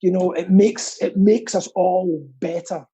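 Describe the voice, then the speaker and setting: A man's voice: sits high, fairly even intonation, a little slow, warm tone, breathy edge. A man chatting casually as a guest, rambling and earnest, with a self-deprecating streak. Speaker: guest, pantomime actor and theatre stagehand. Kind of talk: casual chat.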